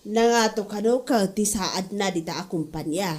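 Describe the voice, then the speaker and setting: Mellow, nosy Ilocano mother, played by an actress. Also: medium pitch, normal speed, lightly acted. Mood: probing, surprised. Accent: Filipino, Ilocano. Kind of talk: Ilocano comedy drama podcast acting.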